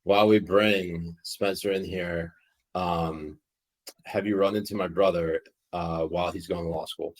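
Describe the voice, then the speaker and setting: A man's voice: sits low, steady tone, unhurried, low-quality recording. A man co-hosting a casual news podcast, rambling, profane and joking. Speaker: podcast co-host.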